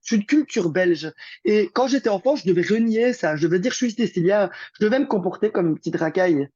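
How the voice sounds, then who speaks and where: A man's voice: high-pitched, animated, fast talker, darkish tone, no echo, lo-fi sound. A young man from Belgium, talking as a guest in a casual conversation, rambling with many fillers and restarts.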